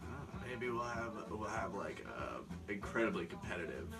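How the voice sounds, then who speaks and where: A man's voice: low-pitched, animated, normal speed. Laid-back male host, distant mic. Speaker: host interviewer. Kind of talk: casual chatty interview. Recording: distant mic with music behind.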